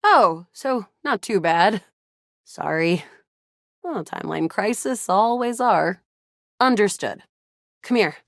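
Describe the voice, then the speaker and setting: A woman voicing a feisty, excitable young resistance fighter in a sci-fi video game, with a dramatic, playful delivery. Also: medium pitch, takes it slowly, pauses often, no room sound, studio quality. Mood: urgent. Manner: animated, dramatic, playful banter. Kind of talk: video game character voice acting.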